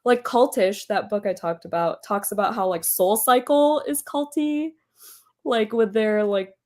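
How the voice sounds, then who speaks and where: A woman hosting a casual book club chat over a video call, losing her voice as she gets over an illness, chatty and quick to laugh. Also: medium pitch, darkish tone. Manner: chatty, laughs often, trails off.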